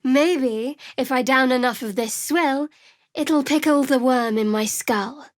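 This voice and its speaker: A woman playing a guarded, sardonic young cleric of a dark goddess in a fantasy video game, speaking in a dry, measured, wry way. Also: high-pitched, dry recording. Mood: wry, candid.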